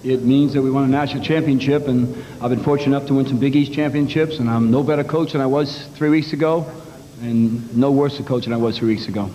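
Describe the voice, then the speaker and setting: A man's voice: medium pitch, darkish tone. A middle-aged man, a basketball coach, giving blunt, emphatic answers at a postgame press conference, speaking into a table microphone. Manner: blunt, emphatic, explains plans step by step. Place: press conference room in a large arena. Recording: live TV broadcast with long hall reverb.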